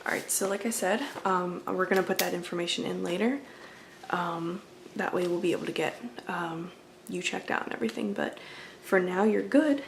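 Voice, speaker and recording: A woman's voice: lowish voice, moderate pace, gravelly, noisy background. A woman doing an ASMR roleplay as a friendly, accommodating video game store clerk, chatting in a casual, polite and apologetic way. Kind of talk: ASMR roleplay, casual customer-service chat.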